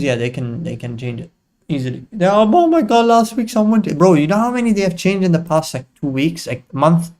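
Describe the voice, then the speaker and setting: A man's voice: a little high, darkish tone. A young man hosting a live trading stream, joking with chat in slang and explaining chart setups in loose bursts. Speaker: livestream trading host.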